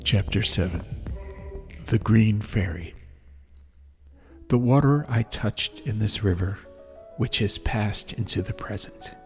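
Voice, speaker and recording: A man reading a story as an atmospheric audiobook narrator, in measured short phrases with pauses. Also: fairly low pitch. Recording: clear background ambient noise. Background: ambience.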